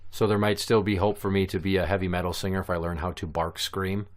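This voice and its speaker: A man hosting a podcast in casual chat, with a deep voice and a relaxed, opinionated way of talking. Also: fast. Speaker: podcast host.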